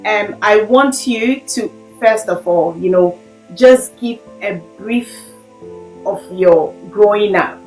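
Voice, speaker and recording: A woman hosting an interview, speaking effusively and filling pauses with "you know". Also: mid-range voice, roomy sound, faint music behind, rough recording. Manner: effusive, warm praise, fillers like "you know". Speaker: host. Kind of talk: podcast interview host.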